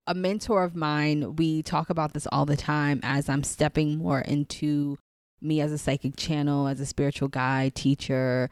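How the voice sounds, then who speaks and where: A woman, a guest telling her story in a casual interview, animated and rambling with lots of laughter. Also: deep voice, moderate pace, no echo, studio quality. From Queens, New York City. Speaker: guest, psychic channel.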